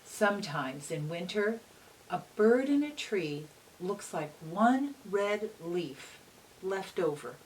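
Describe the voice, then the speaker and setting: Slow, expressive woman reading to children. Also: lowish voice. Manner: gentle teacherly phrasing, pausing to ask questions. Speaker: teacher reading a picture book aloud to children. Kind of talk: children's read-aloud with questions to young listeners. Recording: distant mic with faint hiss.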